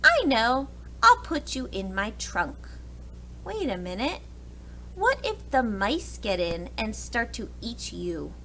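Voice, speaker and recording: A woman voicing a grumbling, fretful but soft-hearted old uncle in a storybook, speaking in emphatic exclamations. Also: a little high, huge pitch swings, takes it slowly, a little hiss or hum, voice pushed up, heavily acted. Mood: fretful, dithering. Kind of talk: storybook character voice.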